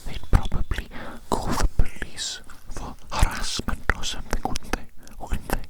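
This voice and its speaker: A performer voicing a polite, eerily eager alien posing as a friendly doctor, in a breathy, repetitive, coaxing whisper for an up-close ASMR roleplay. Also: lightly acted. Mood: coaxing, insistent. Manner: slow, repetitive, formal and coaxing. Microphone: very close microphone.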